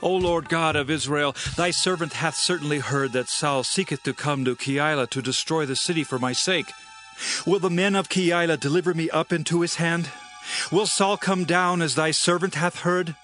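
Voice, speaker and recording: An actor playing a devout, earnest fugitive warrior in a dramatized audio Bible, declaiming with reverent pleading. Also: male voice, a little high, moderate pace, fairly bright tone, faint music behind, natural voice. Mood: urgent pleading.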